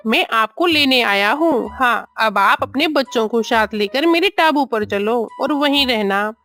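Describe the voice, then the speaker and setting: Woman playing sly, coaxing male crow, high-voiced. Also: animated, unhurried, sharp tone, faint music behind. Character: sly, flattering male crow luring with sweet promises.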